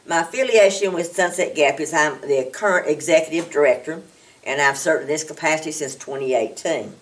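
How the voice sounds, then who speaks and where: A woman introduces herself calmly, with a touch of humor. Her voice is low for a woman, and she is recorded from a distance. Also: brisk. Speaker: executive director.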